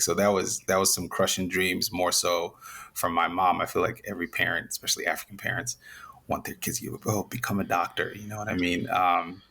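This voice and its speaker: Deep, flat-voiced man with gravelly fry. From Zambia. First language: Nyanja. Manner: relaxed, rambling with many restarts. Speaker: interview guest. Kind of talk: casual interview talk.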